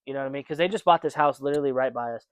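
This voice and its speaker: A man's voice: medium pitch, very fast, clear, resonant tone, no room sound, studio quality. A young man co-hosting a casual podcast chat, rambling with lots of fillers and restarts. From United States. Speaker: podcast co-host.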